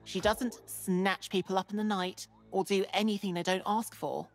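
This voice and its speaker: A woman playing a gentle, earnest fen dweller in a video game, speaking in a sincere, measured way. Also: mid-range voice, steady tone, faint music behind, close to an everyday voice. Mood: earnest, confiding. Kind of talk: video game character dialogue.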